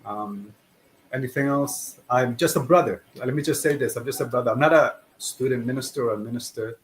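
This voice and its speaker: A middle-aged man from Chile answering as a podcast guest in a modest, earnest and measured conversational way. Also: medium pitch, poor audio. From born in Chile. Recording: faint background noise.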